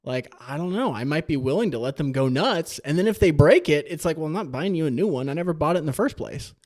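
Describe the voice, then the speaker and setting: A man's voice: on the high side, lively intonation, quick pace, a little mellow. A man co-hosting a podcast in casual discussion, thinking aloud in loose phrases and posing questions. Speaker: podcast co-host.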